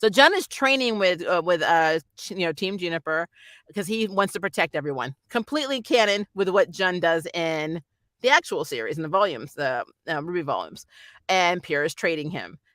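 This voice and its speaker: A reviewer with a bright, forward voice, recorded close and dry with almost no room sound. The delivery is casual and conversational, with animated emphasis, like a keen fan hosting an episode review. The pitch sits in a middle range. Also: normal speed, no room sound. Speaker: host of an anime review channel. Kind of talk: casual spoiler review chat.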